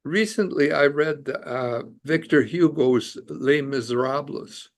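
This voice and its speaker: An older man born in Canada speaks as a guest on a podcast, earnest and reflective, with a somewhat high voice. He pauses often on fillers and restarts his sentences. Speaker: immigration lawyer and author, podcast guest. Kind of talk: casual interview conversation.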